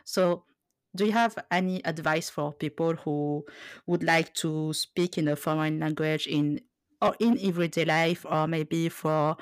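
A woman hosting a podcast, talking slowly and haltingly and restarting her words often. Her voice sits very low for a woman, and the sound is dry and close. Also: no echo. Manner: hesitant, halting, many restarts. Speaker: podcast host. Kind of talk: casual podcast conversation.

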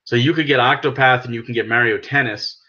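A man's voice: medium pitch, fast, sharp tone, dull audio. A man with an American accent talking casually and persuasively about things as a podcast host, emphatic and explanatory. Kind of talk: casual gaming podcast discussion.

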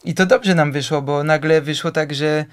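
A young man from France talks as a guest in a casual chat. His voice is smooth and resonant, set somewhat high for a man. He hesitates often, filling gaps and restarting phrases as he searches for words, and sometimes trips over word forms. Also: fairly even intonation, moderate pace. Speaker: interview guest. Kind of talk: casual conversation.

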